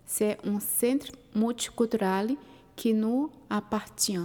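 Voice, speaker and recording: Slow, mellow woman narrator. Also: fairly high pitch. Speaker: exhibition participant. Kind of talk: scripted narration. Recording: long reverb.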